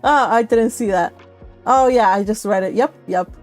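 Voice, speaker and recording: Animated millennial woman hosting a casual livestream. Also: a little high, moderate pace, faint music behind. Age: 30s to early 40s. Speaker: livestream host of a book read-along. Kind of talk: casual live chat with viewers.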